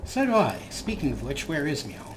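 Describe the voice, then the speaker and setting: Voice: mid-range voice, huge pitch swings, moderate pace. A let's play host giving casual, wry game commentary and playfully talking to the characters. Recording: noisy, game ambience behind voice.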